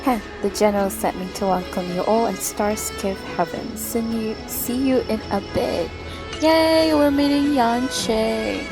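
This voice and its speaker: A woman's voice: fairly high pitch, unhurried. A woman livestreaming a story game, reacting casually with playful, gushing comments over game music.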